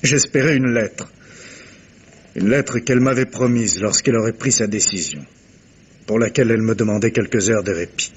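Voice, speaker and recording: A man acting an anguished, stubborn gentleman with solemn, declamatory delivery in a 1950s French radio mystery. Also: fairly low pitch, moderate pace, slightly rough. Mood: tormented. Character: anguished, stubborn wealthy gentleman confiding a dark secret. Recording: noisy vintage radio recording.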